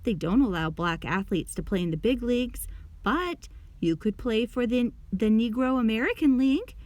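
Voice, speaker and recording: A woman's voice: fairly high pitch, lively intonation, moderate pace, darkish tone, no echo, close to an everyday voice. A woman voicing an eager, loyal, encouraging friend in a children's storybook. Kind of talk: children's storybook character reading.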